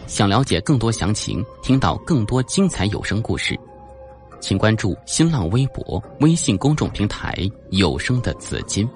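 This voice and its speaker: A man's voice: on the low side, very animated, moderate pace, a little mellow. A man narrating a suspense audiobook in a dramatic, scripted storytelling style. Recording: faint background music.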